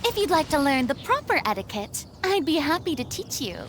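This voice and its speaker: A woman's voice: high-pitched, very animated, brisk, slight background noise. A woman playing a poised young lady in an anime game, prim and refined, with music underneath. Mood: prim, gracious. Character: poised, genteel young lady, proud of etiquette.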